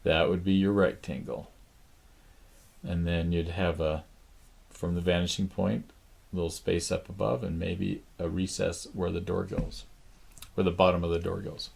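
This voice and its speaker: A man teaching a drawing lesson, thinking aloud slowly with many pauses, his voice raspy. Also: low voice, flat delivery, a little mellow. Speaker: art teacher. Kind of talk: drawing lesson, talking while sketching. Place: art studio.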